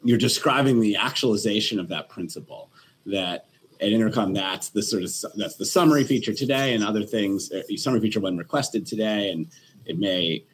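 A man with a fairly low voice speaks as an investor on a panel, circling his points with restarts and fillers. Faint noise sits under the recording. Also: fairly quick, poor audio. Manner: hesitant, many restarts and fillers. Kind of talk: panel discussion.

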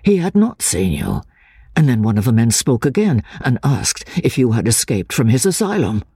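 A woman reader voices a careful, sincere gentleman in a classic audiobook. The delivery is measured and reassuring, with a dark, husky tone and lively intonation, very close and dry. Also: extremely low pitch, no room sound, full character voice. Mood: pressing on. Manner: measured, deliberate, persuasive. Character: earnest, cautious gentleman narrator, gently coaxing and reassuring. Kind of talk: dramatic audiobook reading of classic literature.

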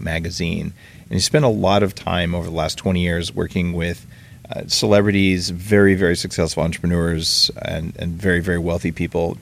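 Man, very low voice, chatty podcast host, upbeat, casual asides. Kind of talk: casual interview and ad read. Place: room in Hawaii, live with guest.

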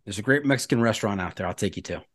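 A man's voice: lowish voice, expressive, rapid-fire, a bit bright, no room sound. American male podcast host, dry studio sound. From United States. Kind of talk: casual interview chat.

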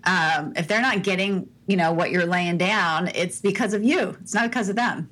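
Soft-voiced, direct woman podcast host. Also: lowish voice, moderate pace. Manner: direct, upbeat, fillers. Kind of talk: casual podcast chat giving advice.

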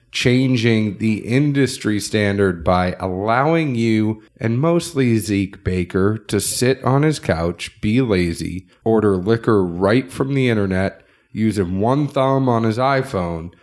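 Nasal, low-voiced man hosting a podcast. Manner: relaxed, chatty, reads ad plugs loosely. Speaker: podcast co-host. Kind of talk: casual podcast chat.

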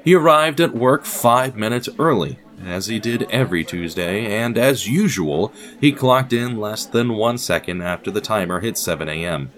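A man narrating a scripted audio drama in a measured, theatrical storytelling manner with deliberate pauses. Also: fairly low pitch, expressive, a bit bright.

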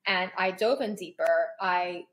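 A woman's voice: lowish voice, fairly even intonation, unhurried, a bit bright. A young woman, born in Paris and raised in Toronto, answering interview questions in a chatty, searching way with many ums. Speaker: guest, content creator.

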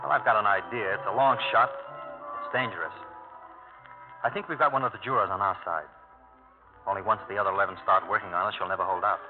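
A man's voice: on the low side, fast, long pauses, lightly acted. An actor playing a shrewd, scheming tough man with a clipped, hard-boiled delivery in old radio drama. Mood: scheming, urgent. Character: shrewd, scheming tough son, smooth with women. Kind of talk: 1940s-50s radio drama acting. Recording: tinny old radio broadcast, music behind.

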